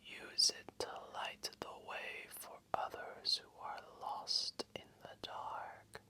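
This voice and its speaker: A man voicing an ancient, wise and gentle old dragon in a gravelly, breathy voice, telling a bedtime story slowly and soothingly. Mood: soothing, hushed. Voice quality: gravelly, breathy, often whispered. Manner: slow, solemn, soothing, deliberate. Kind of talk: slow bedtime storytelling, ASMR.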